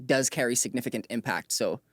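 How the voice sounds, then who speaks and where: Interview host, mid-pitched, chatty and encouraging, very dry sound. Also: brisk, fairly bright tone, dry recording. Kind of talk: upbeat interview hosting with scripted introduction.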